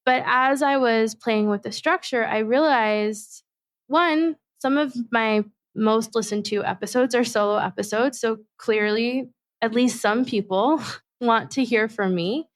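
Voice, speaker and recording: A woman hosting her own podcast, talking alone in a thoughtful, measured and personal way. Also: fairly high pitch, dry recording. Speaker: podcast host and facilitator. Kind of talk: reflective solo podcast monologue.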